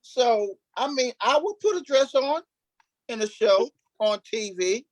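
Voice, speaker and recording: A man's voice: very high-pitched, expressive, unhurried, no echo, poor audio. A man who acts on stage, talking informally in an interview and repeating phrases emphatically for stress. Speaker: stage play cast member, actor.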